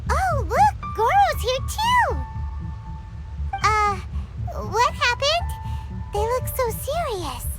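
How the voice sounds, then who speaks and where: A woman voicing a tiny, excitable childlike fairy companion with a squeaky voice in a video game. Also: very slow, pauses often, exaggerated voice. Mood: chirpy, curious. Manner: exclaiming, speaks of herself in third person. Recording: loud game music underneath. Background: game music.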